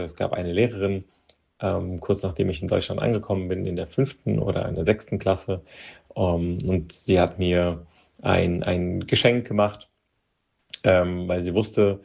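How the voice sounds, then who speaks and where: A man from Moldova whose first language is Russian, an interview guest talking hesitantly with many pauses and restarts. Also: low voice, creaky, warm tone, husky. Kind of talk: personal interview account.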